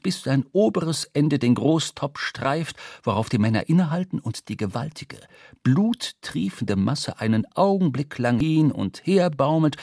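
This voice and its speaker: A man's voice: medium pitch, a little mellow, breathy edge, no echo, clean studio sound. A man narrating a literary radio drama with vivid, precise storytelling. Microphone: close studio microphone.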